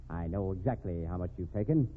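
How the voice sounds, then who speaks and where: Husky, commanding radio-drama tough guy. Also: low voice, normal speed. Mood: accusing, firm. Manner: hard-boiled, commanding, clipped. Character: tough, accusing male employer, stern and commanding. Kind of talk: old-time radio crime drama acting. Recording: muffled old radio recording.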